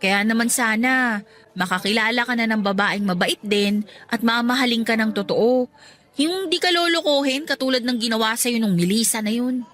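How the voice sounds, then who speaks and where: A woman with a Filipino accent playing a warm, self-deprecating single mother in a radio drama, speaking expressively. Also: on the high side, normal speed, close to an everyday voice. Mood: encouraging, tender.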